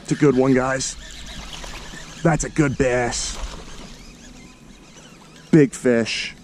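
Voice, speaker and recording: An American man talks casually to his viewers from a kayak out on a lake, his voice warm and mellow. He is excitable and slangy, calling out his catches as they happen. The sound comes from an action camera on the boat, with faint outdoor noise behind him. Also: mid-range voice, drawn-out words. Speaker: fishing vlogger. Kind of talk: casual running commentary to camera. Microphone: action camera mounted on the kayak.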